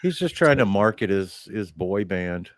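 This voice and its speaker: A man's voice: low-pitched, very animated, normal speed, no echo, clean studio sound. A man, chatting casually on a video call, wry and teasing, given to rambling. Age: 50s. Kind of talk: casual group chat on a live stream.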